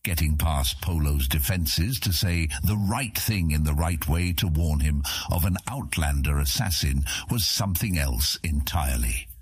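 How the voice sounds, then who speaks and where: A man reading scripted fantasy narration as an audiobook narrator, with a deep, husky voice. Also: a little slow, hardly any pauses, no room sound, studio quality.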